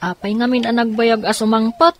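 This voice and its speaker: A woman's voice: on the high side, fairly even intonation, brisk, natural voice. A woman playing a pleading young child with an Ilocano accent in a radio drama. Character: pleading young child missing their father.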